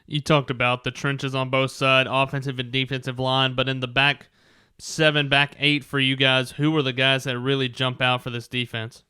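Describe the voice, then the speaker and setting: Man, sports host, crisp smooth voice, medium pitch, dry close sound, conversational interviewing. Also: level tone, moderate pace, pushed and bright, dry recording. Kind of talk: conversational sports radio hosting.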